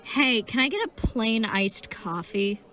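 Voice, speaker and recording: A woman playing an odd, indecisive coffee shop customer in an audio drama, ordering in a halting, drawn-out way. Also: fairly high pitch, lively intonation, fairly bright tone. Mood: hesitant, offhand.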